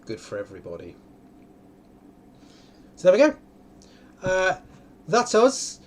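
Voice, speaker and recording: A man's voice: high-pitched, huge pitch swings. Hesitant man on a noisy live stream. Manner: hesitant, halting, circles back and repeats phrases. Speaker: film-maker hosting a live online question session. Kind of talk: casual unscripted question-and-answer talk.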